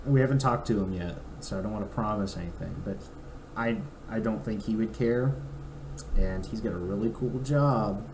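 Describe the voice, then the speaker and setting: A young man with a raspy throat, a podcast co-host chatting casually and reading a weather forecast aloud with asides. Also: on the low side, moderate pace. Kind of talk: casual chat. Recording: echoey room, faint noise.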